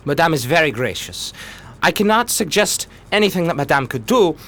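A man's voice: fairly high pitch, very animated, normal speed, sharp tone, exaggerated voice. A man playing a deferential, evasive government official in a radio play, formal and hesitant. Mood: deferential, uneasy.

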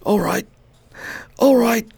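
A weak, weary man rises from a plague sickbed, his voice worn and pained in a radio play. He speaks slowly and tiredly. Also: very high-pitched, gravelly, a little mellow, slightly rough, exaggerated voice. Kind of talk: radio drama acting.

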